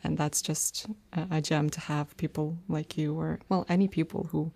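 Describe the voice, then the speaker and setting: A woman hosting a relaxed interview, with a very low voice and a gravelly fry running through it. She is encouraging and friendly and laughs often as she draws her guest out. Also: normal speed. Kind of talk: casual conversational interview.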